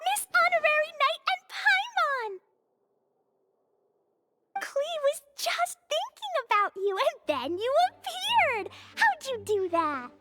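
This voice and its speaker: An actress playing an excitable, sweet, innocent little girl in a video game, with eager childlike delivery. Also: very high voice, slow pace, very noisy, exaggerated voice. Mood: delighted wonder. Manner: childlike, eager, refers to self in third person. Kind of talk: video game cutscene voice acting.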